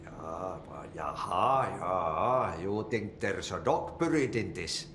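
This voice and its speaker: An actor playing an eccentric older janitor in a video game, with a Finnish accent and a deadpan, folksy, cryptic manner. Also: male voice, sits low, slight background noise, full character voice. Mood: unhurried, teasing. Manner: slow, deadpan, folksy mangled idioms. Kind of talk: video game cutscene dialogue. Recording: roomy echo, loud background ambience.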